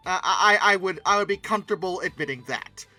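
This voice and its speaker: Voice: medium pitch, fast talker, bright, forceful tone, dry recording, soft background music. A commentator talking casually off the cuff about pictures, with a theatrical, mock-dramatic way of emphasizing things. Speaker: host of a paleoart commentary channel.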